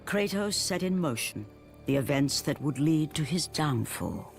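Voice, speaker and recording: A man's voice: fairly high pitch, sing-song, unhurried, gravelly, full character voice. A man acting as a grave, ominous narrator of an ancient Greek tragedy in a video game. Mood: solemn, ominous. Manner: grave, theatrical. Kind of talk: dramatic scripted game narration. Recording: loud music under the voice.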